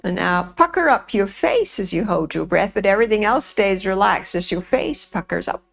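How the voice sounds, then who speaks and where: A woman teaching yoga nidra, guiding a relaxation in a soothing, gentle way with unhurried pauses. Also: medium pitch, animated, creaky. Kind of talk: guided relaxation, meditation instruction.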